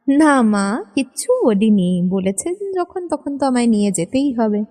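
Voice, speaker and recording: A woman's voice: fairly high pitch, animated, normal speed, mellow, close to an everyday voice. A woman playing a weary young housewife and mother in a family sketch, sighing and sarcastic. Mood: resigned. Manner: sighing, sarcastic, long-suffering. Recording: reverberant hall-like echo.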